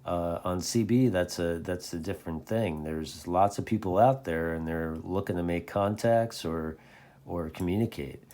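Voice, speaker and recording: Low-pitched man, dark voice, casual talk. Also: moderate pace. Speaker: CB radio hobbyist presenter. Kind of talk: casual product recommendation talk.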